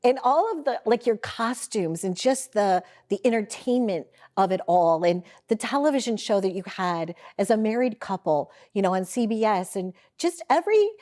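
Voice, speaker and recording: A woman's voice: mid-range voice, dark tone. A woman from St. Louis hosting a talk show, asking her guests questions in a gushing, effusive way. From St. Louis, Missouri. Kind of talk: casual interview.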